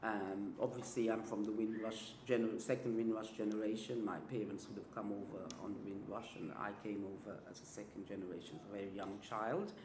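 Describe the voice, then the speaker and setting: A man's voice: on the low side, steady tone, brisk, few pauses. A man in his sixties, a community activist, describing his background in a composed manner. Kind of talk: interview self-introduction. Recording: echoey room with noise.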